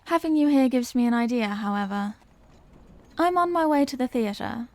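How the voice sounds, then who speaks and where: A woman's voice: a little high, lively intonation, normal speed, darkish tone, natural voice. A woman playing a refined, courteous Victorian vampire lady in an audio roleplay, speaking in formal, old-fashioned phrases. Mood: gracious, inviting. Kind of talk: ASMR audio roleplay, scripted character acting.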